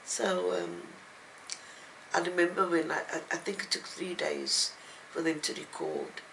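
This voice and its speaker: An older woman speaking as an interviewed writer, reflective and halting, with thoughtful pauses. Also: fairly low pitch, fairly even intonation, gravelly, fairly bright tone, slight background noise, poor audio. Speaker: novelist and playwright being interviewed. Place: at home, indoors.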